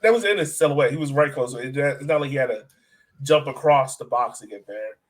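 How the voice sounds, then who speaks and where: A man's voice: mid-range voice, brisk. A man co-hosting a soccer fan podcast in casual, conversational analysis, often putting questions to his co-host. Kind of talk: casual sports analysis chat.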